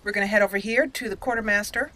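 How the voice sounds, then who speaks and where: A woman hosting a roleplay game playthrough, deliberately narrating her actions aloud. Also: mid-range voice, fairly even intonation, crowd noise. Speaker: gaming channel host. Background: faint game music.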